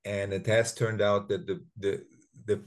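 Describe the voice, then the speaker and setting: Measured, low-voiced man winemaker. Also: level tone. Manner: measured, hesitant explaining. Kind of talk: casual panel chat. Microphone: video call.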